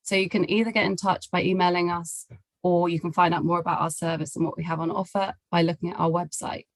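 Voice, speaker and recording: A woman with a slightly low voice hosts an online webinar over a video call. She gives a courteous, prepared introduction, and the sound is dry, with little room in it. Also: level tone, fast talker, no room sound. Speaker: webinar host. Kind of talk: scripted welcome and introduction.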